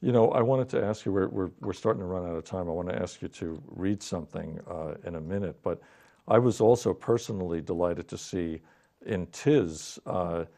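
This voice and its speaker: A man in his sixties with a creaky, gravelly voice and a dark, mellow tone. He asks literary interview questions thoughtfully and a little hesitantly in a studio. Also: sits low, moderate pace. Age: 60s. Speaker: interviewer, writer and musician. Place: quiet TV studio set with bookshelves. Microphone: lapel mic.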